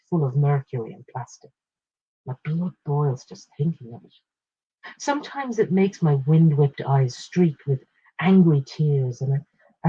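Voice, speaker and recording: A woman reading a literary short story aloud in a measured, expressive way, in short phrases. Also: deep voice, husky, dry recording, highs cut off.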